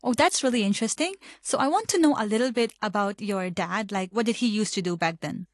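A woman's voice: medium pitch, fairly quick, no room sound, clean studio sound. A woman from Hong Kong hosting a radio interview, reading upbeat scripted introductions and asking friendly questions. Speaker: radio host.

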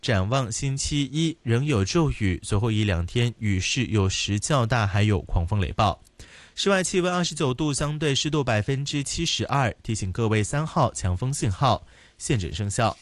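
A man reading a formal weather and news bulletin on the radio in a steady, measured way. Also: medium pitch, dry recording. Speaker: radio newsreader. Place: radio studio.

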